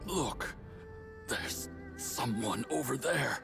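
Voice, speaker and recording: An actor playing a dying, solemn yaksha warrior in a video game, speaking in a weary, halting way. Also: male voice, medium pitch, expressive, creaky, full character voice. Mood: dawning recognition. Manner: weary, halting, slow delivery. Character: dying male yaksha warrior regaining lost memory, solemn. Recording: loud music behind the voice. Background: loud music.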